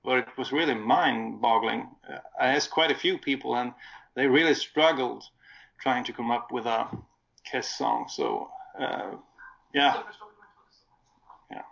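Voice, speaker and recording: A man from Sweden with a Swedish accent, chatting casually and a little haltingly as he recounts things as a guest. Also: mid-range voice, very animated, gravelly, a bit bright, highs cut off. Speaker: guest recounting a concert he attended. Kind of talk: casual conversation.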